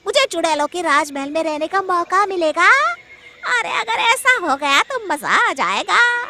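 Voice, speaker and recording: A woman's voice: very high voice, expressive, unhurried, background noise, voice pushed up, full character voice. A woman voicing a boastful, gullible forest witch with a cackle, for a comic spooky storybook tale. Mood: eager. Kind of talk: Hindi horror-comedy storybook acting.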